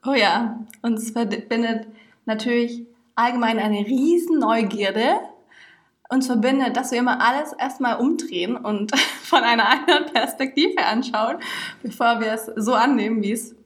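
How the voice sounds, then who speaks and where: A woman's voice: on the high side, normal speed. A woman of twenty-nine co-hosting a podcast in a casual chat, with a giggly, bubbly way of talking. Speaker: podcast co-host.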